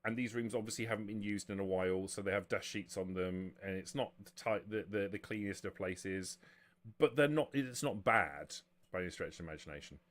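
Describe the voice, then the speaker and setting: A man narrating and explaining a tabletop roleplaying game as game master, halting and often rephrasing as he goes. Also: sits low, a bit bright.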